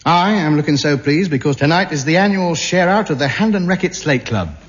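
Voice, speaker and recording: A man's voice: a little high, lively intonation, fairly quick, natural voice. An actor playing a pompous, self-deluding man in a 1950s radio sitcom, delivering grandiose, indignant lines. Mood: smug. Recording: muffled old radio broadcast with hiss.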